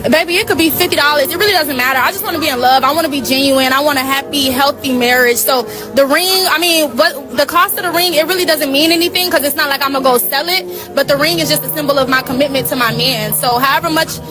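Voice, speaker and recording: A woman's voice: high voice, fast talker, few pauses, over music. A woman being interviewed, chatting casually in a sassy, frank and emphatic way. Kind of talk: casual street-interview chat.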